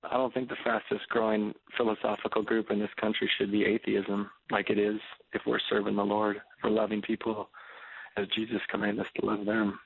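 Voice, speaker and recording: A man's voice: on the low side, little pitch movement, fairly quick, creaky, husky. A man in his thirties talking earnestly as a radio guest, preaching with many stammered restarts. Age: 30s. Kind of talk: phone-in radio interview. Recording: tinny phone line.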